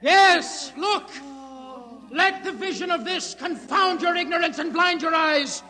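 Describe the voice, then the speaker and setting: A man's voice: extremely high pitch, unhurried, exaggerated voice. An actor playing an impassioned male idealist in a 1950s radio drama, pleading and declaiming, on an old reverberant broadcast recording. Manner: pleading then declaiming, shouting. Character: impassioned male visionary Hoyland, defiant idealist.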